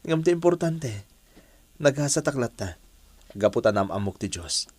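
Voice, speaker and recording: A man with an Ilocano accent playing an earnest, repentant family man who pleads gently in a radio drama. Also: medium pitch, sing-song, normal speed, no echo. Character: repentant, earnest adult man wooing his estranged family. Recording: dry studio sound, music behind.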